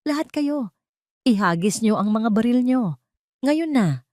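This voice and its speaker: A narrator puts on a power-hungry, ruthless woman villain from an audio drama. Her voice lifts well above a low narrating register as she taunts and gives frantic orders. The sound is close and dry. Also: animated, a little slow, no echo. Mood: frantic, threatening. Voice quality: trembling, shouted delivery. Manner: commanding, taunting.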